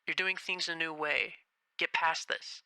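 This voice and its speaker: A man voicing a shy, earnest young female rabbit in an amateur dramatic reading, speaking softly and from the heart. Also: on the high side, fairly even intonation, a little slow, smooth, no echo, exaggerated voice, poor audio. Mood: anxious. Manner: soft, heartfelt, anxious inner murmuring. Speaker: voice actor in a fan reading.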